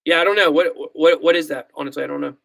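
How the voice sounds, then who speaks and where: A man in his thirties with a Southern accent, hosting a casual livestream and talking through viewer comments. His voice sits slightly high, and he rambles with frequent fillers and self-deprecating asides. The recording is close and dry, with no room sound. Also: steady tone, fast talker, gravelly, fairly bright tone, dry recording. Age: 30s. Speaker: crypto livestream host.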